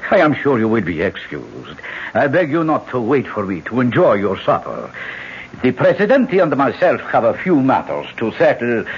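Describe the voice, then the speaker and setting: An actor playing a suave, scheming older generalissimo with an urbane, oratorical manner in a radio drama. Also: male voice, mid-range voice, wildly swooping pitch, moderate pace, slightly rough, exaggerated voice. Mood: falsely gracious. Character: suave, scheming elder generalissimo, falsely courteous. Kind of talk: old-time radio drama acting. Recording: dull old radio broadcast.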